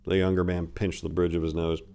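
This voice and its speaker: A man's voice: deep voice, level tone, fairly quick, creaky, dark tone. A man narrating a crime story as a dramatic reading, in the role of storyteller.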